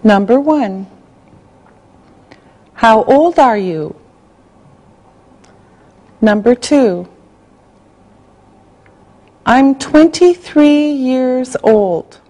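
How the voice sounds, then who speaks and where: Slow, mellow-voiced woman reading dictation. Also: high-pitched, expressive. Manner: slow, carefully spelled out, evenly paced. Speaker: English teacher reading a listening exercise.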